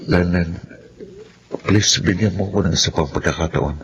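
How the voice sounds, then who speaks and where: A man's voice: deep voice, normal speed, slight background noise. An actor playing a remorseful, pleading absent father in an earnest Filipino radio drama. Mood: confessing, earnest. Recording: dull lo-fi sound, music behind.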